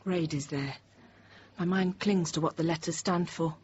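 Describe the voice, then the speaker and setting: An actress in her thirties playing a frightened but composed French teacher held hostage, narrating quietly, often near a whisper. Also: lowish voice, steady tone, normal speed, lightly acted. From France. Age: 30s. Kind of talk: first-person dramatic narration. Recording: dull noisy radio drama recording.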